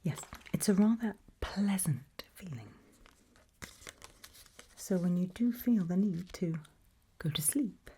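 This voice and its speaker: British woman, whispered ASMR roleplay, calm clinic practitioner, mellow tone, slow unhurried delivery, soothing and attentive. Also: lowish voice, a little hiss or hum. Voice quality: breathy, whispered. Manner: gentle, soothing, unhurried reassurance.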